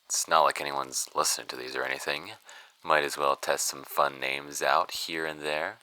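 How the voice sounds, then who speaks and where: A man's voice: very deep voice, fairly even intonation, normal speed, bright, forceful tone, heavily acted, rough recording. An American actor playing a wry young man who hosts a podcast about old case files, sardonic and conversational, in an audio drama. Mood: wry, self-deprecating. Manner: sardonic, self-deprecating, conversational. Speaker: podcast host character. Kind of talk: audio fiction podcast monologue.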